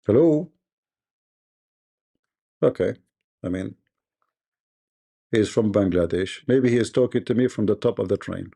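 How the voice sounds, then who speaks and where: A man with a dark, mellow voice hosting a call-in debate stream. He talks pushily in broken English, repeating his questions over and over. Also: lowish voice, unhurried, vocal fry, pauses often, breathy edge. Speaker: debate livestream host.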